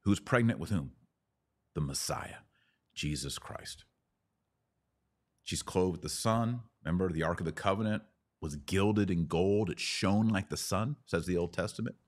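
A man hosting a podcast, giving an emphatic theological teaching talk with rhetorical questions and dramatic pauses. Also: deep voice. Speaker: podcast host.

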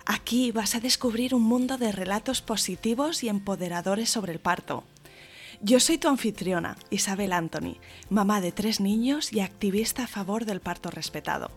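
A woman hosting a podcast, welcoming her guest and asking gentle questions. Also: medium pitch, normal speed, soft background music. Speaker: podcast host. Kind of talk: podcast interview.

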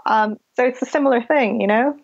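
Woman w mixed british canadian accent, bit high voice, muffled lo-fi sound, thinks out loud. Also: brisk, vocal fry. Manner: thoughtful, many restarts. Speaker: writer guest. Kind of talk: interview conversation. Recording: dull lo-fi audio.

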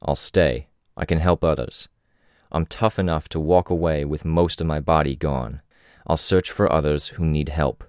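A man voicing a stoic, stubborn hero and field leader in an audiobook, steady and plain-spoken. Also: rumbling low, normal speed, clear, resonant tone, dry recording, clean studio sound. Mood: resolute.

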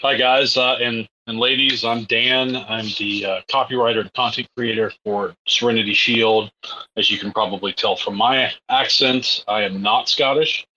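American guy with a sharp bright voice, copywriter, halting, muffled mic w/ faint hiss. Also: mid-range voice, fairly even intonation. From United States. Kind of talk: casual panel chat. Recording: dull lo-fi mic with faint noise.

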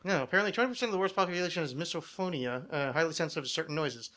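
A man's voice: on the high side, rapid-fire, pushed and bright. A man hosting a podcast with casual comedic banter, rambling and sardonic, swearing freely. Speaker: podcast host.

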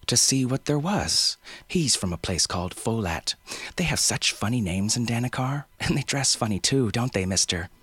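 A man's voice: medium pitch, lively intonation, normal speed, a bit bright, breathy edge, no room sound, pitched above the performer's own voice. A man voicing a cheerful, chattering five-year-old island girl. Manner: eager, breathless chatter.

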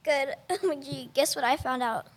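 Bubbly young bestie, acted by a girl, high register, slangy, amateur audio theater. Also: moderate pace, natural voice. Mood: excited. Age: child or teen. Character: bubbly, slangy girl best friend eager to act. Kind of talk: amateur youth radio play acting.

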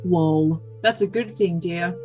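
A woman's voice: fairly low pitch, takes it slowly, mellow, rough recording. A woman voicing an earnest, besotted young man with a solemn, stilted manner in an overly dramatic comic message reading. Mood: affectionate. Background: music.

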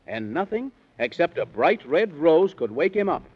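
A man tells a children's bedtime story on old-time radio in sing-song rhyme. His theatrical voice is fairly high and swings in lively fashion, on a dull, lo-fi vintage recording. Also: normal speed, breathy edge. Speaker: narrator of a children's radio serial.

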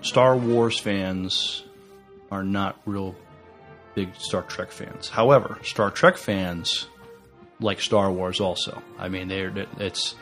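Low-voiced man, halting casual fan talk. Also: fairly even intonation, fairly bright tone, faint music behind. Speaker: podcast co-host. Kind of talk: casual unscripted fan chat.

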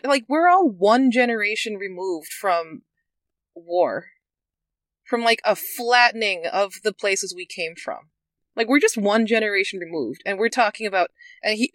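Earnest, reflective speaker, crisp bright tone, dead-dry recording. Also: medium pitch, no echo. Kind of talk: casual discussion.

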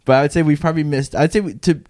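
A man's voice: on the high side, fairly even intonation, very fast, darkish tone, no echo, studio quality. A young man co-hosting a podcast, congested from illness, joking crudely and arguing back in casual banter. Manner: crude, self-deprecating, argumentative. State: congested, recovering from covid. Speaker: podcast co-host.

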